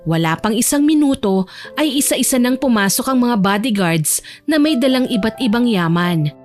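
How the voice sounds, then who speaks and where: A woman's voice: fairly high pitch, expressive, moderate pace, faint music behind. A woman narrating a scripted romantic audio drama as a storyteller.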